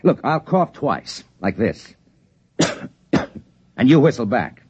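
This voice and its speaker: An actor playing a shrewd, knowing trading-post man who is quietly in charge, in 1950s radio drama style. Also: medium pitch, sing-song, slow, breathy edge, dry recording, heavily acted. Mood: conspiratorial. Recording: dull, dry vintage radio recording.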